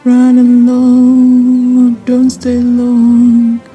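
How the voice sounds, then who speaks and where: A woman's voice: on the high side, monotone, extremely slow, mellow. A young woman playing a solemn fairy ruler giving a blessing in an amateur dramatic reading. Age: young adult. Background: lullaby-like music.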